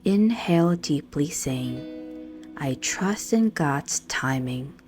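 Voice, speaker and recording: A woman with a low voice guides a prayerful meditation. She speaks very slowly and soothingly, leaving measured pauses between phrases. Gentle guitar music plays softly behind her. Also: lively intonation. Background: soft guitar music.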